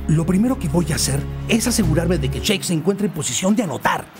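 A young cartoon footballer voiced by a man, cool and proud yet troubled. His delivery is lively and varied, over prominent background music. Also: a little high, fast, lightly acted. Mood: defensive. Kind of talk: animated cartoon dub.

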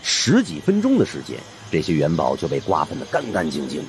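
A man's voice: a bass voice, huge pitch swings, brisk. A man narrating a story as a dramatic scripted audiobook in the first person. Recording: faint background noise.

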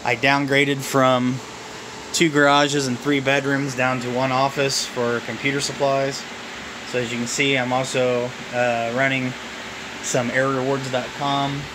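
A man walks through his crowded home office with a handheld camera, talking in a relaxed, halting way full of fillers. His delivery is fairly flat and even. An air conditioner hums clearly under the recording. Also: mid-range voice, noisy background. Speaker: vlogger showing his phone farm. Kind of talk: casual off-the-cuff walkthrough. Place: cluttered home office full of phones and computers. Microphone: handheld camera mic, filming while walking around.

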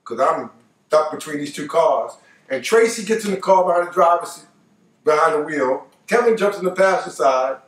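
High-pitched older American man telling stories. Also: expressive, normal speed. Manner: colloquial, repeats phrases for emphasis. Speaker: storyteller. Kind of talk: animated personal storytelling, casual. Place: living room couch, indoors. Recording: roomy, distant microphone.

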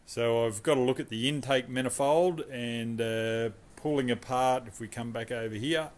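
A man's voice: medium pitch, moderate pace. A man, casually walking through his home garage workshop, rambling and asking viewers for advice. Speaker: car restoration vlogger.

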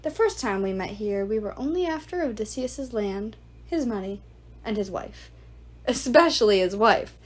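A woman's voice: mid-range voice, expressive, normal speed, natural voice. A woman reader voicing an arrogant, sneering male ghoul, the ghost of a suitor, talking with mocking contempt.

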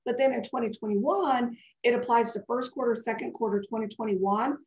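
A woman's voice: a little high, normal speed, darkish tone. Woman, scratchy and congested, chatty and digressive, live webinar, echoey home office, muffled webcam audio. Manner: chatty and digressive, answering questions. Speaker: webinar presenter. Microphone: webcam video call. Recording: dull echoey video-call audio.

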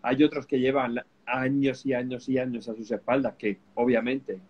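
Chatty Spanish host nodding along. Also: male voice, mid-range voice, level tone, darkish tone, breathy edge. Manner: agreeable, chatty, many quick affirmations. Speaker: host, beer subscription company. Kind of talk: casual interview over video call. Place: indoor studio with colorful backdrop. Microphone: webcam sharing a shot with a co-host.

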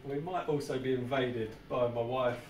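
Roomy, distant sound with clear background noise carries a British man's voice. He talks casually and jokily as a beer review host, often repeating his points. His pitch is average for a man. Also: moderate pace, darkish tone. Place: small home bar room. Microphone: camera a short distance away.

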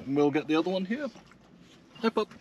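A man's voice: high-pitched, expressive, moderate pace, a little mellow, lo-fi sound. A man giving casual running commentary on an electric car road trip, thinking aloud with fillers, recorded by camera in and around his car at a parking lot.

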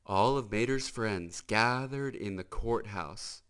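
A man narrating a children's storybook in an expressive, emphatic manner. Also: lowish voice, slow pace, clear, resonant tone. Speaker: storybook reader.

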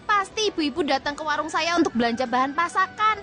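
A woman's voice: high voice, lively intonation, fast talker, music in the background. A woman playing a chatty, gossip-loving woman who runs a small food stall in a radio drama. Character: chatty woman warung owner, fond of gossip.

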